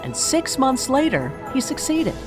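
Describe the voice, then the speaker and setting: A woman's voice: sits high, wildly swooping pitch, a little slow, full character voice. A woman playing a polished, knowing narrator, reading scripted mock-documentary storytelling. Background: music bed.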